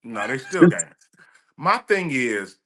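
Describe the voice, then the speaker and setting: A man's voice: mid-range voice, big pitch swings, unhurried, sharp tone. A man co-hosting a podcast, chatting casually and telling stories in an emphatic, profane way. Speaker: podcast co-host. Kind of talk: casual chat.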